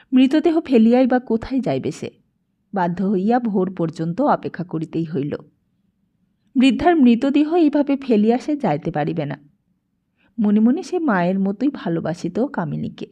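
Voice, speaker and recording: A woman narrates a literary story a script in a measured, expressive way. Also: on the high side, highs cut off. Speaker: audiobook narrator.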